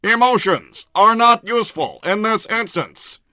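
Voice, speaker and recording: A war-hungry cartoon robot states its plans in a high, piercing voice. It is stiff and formal, coldly deliberate and free of emotion. The recording is dry and close. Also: male voice, bright, forceful tone, clear, resonant tone, no echo, exaggerated voice. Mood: cold, calculating. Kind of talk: improvised in-character conversation.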